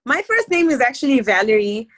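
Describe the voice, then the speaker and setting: Woman from zimbabwe, afro-pop guest, chatty, laughs easily, relaxed interview talk. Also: sits high, fairly quick. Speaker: guest musician. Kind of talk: casual interview chat. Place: based in London, on a remote call.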